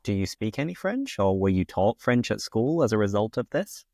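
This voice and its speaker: British host guy asking questions, upbeat and curious, low-ish voice, dry close sound. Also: very animated, moderate pace, dark tone, no room sound. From Britain. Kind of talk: interviewer questions.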